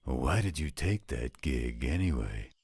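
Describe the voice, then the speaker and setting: A man plays a gruff, patient older werewolf stepfather in an audio drama. The voice is extremely low, raspy and full of gravelly fry, delivered slowly and dryly, close and without echo. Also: animated, a little mellow, heavily acted. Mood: fatherly, approving. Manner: gruff, dry, unhurried. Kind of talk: scripted roleplay audio drama.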